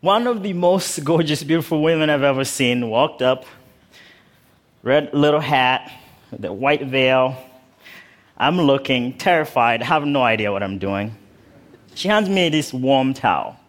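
A man's voice: mid-range voice, measured pace. A man from Uganda telling his life story on stage with humor and vivid detail. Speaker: speaker. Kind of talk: storytelling talk. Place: stage in a large hall.

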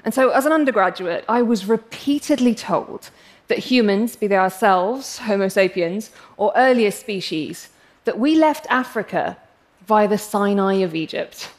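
A woman's voice: medium pitch, lively intonation, unhurried. A young English woman with an English accent giving a prepared public talk, passionate and wry with self-deprecating humor. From England.

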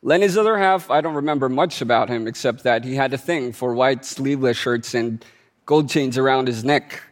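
A man from the Philippines, giving a prepared storytelling talk with measured phrasing, deliberate pauses and wry asides. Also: mid-range voice, long reverb tail. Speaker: speaker.

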